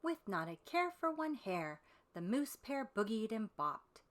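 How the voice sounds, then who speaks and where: A woman reading a storybook aloud for young children, with deliberate, dramatic phrasing and drawn-out emphasis on key words. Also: a little high, very animated. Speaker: children's storybook reader and presenter.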